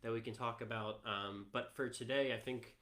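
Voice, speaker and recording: Bright-voiced American man co-hosting casually. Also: medium pitch, steady tone, moderate pace. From United States. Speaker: co-host. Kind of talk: casual chat, presenting to a live stream. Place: room in a house.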